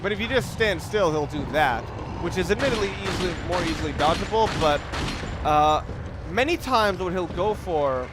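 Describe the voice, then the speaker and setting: A man's voice: sits high, expressive, normal speed, background noise. A man giving casual, rambling let's play commentary, explaining and reflecting as he plays, with game sounds behind him. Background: video game sounds.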